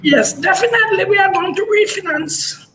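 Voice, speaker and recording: A woman's voice: very high voice, expressive, moderate pace, a bit bright. A woman answering as a company finance executive on a live earnings call. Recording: noisy remote call line.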